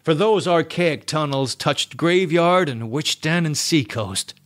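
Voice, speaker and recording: A man's voice: on the high side, slow pace, no room sound, clean studio sound. Man playing sinister, grinning, sardonic painter. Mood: wry, nonchalant. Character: sinister grinning male painter, flippant and morbid.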